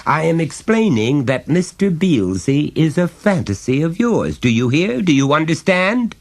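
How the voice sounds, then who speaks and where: A man's voice: fairly high pitch, sing-song, measured pace, voice pushed up, full character voice. A man voicing a smug, pedantic, bullying father in a short story reading, with a pompous, hectoring delivery. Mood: condescending lecturing. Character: smug, pedantic, bullying middle-class father lecturing his son.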